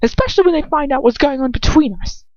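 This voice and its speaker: A woman's voice: sits high, expressive, fast, a little mellow, no echo, dull audio, voice pushed up. A woman voicing a bitter, anguished male villain and lover, speaking with contempt and intensity. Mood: bitter resentment.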